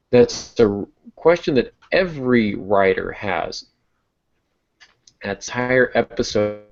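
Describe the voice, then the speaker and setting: A man hosting a podcast, giving conversational advice about writing. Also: lowish voice. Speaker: podcast host and writer. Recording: dull lo-fi audio.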